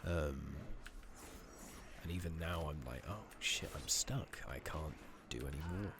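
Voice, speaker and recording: A soft-spoken British man giving casual, rambling ASMR commentary over a game, sometimes near a whisper, with hesitant pauses as he muses. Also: very deep voice. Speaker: streamer playing an online fantasy game. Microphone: close makeshift microphone. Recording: noisy, slightly loud close microphone.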